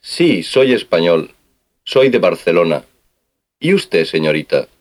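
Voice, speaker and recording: A man's voice: deep voice, very animated, measured pace, heavily acted. A man playing a polite customs officer who asks formal questions in a scripted language-lesson dialogue.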